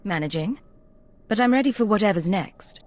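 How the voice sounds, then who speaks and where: An actress playing a composed secret agent in a video game, speaking in a controlled, understated, quietly confident way. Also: female voice, mid-range voice, lively intonation, normal speed, close to an everyday voice. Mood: reflective, steady.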